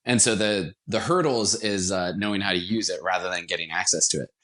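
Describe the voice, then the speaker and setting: Low-voiced man, enthusiastic podcast guest. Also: a little fast, fairly bright tone. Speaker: interview guest, radio theater producer. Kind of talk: casual podcast interview.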